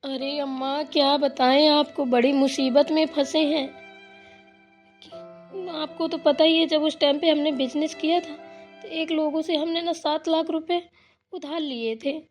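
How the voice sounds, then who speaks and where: A woman's voice: high-pitched, flat delivery, unhurried, soft background music. A woman voicing a troubled, pleading married daughter in a cartoon family drama, with a plaintive, wordy way of complaining. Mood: worried, pleading.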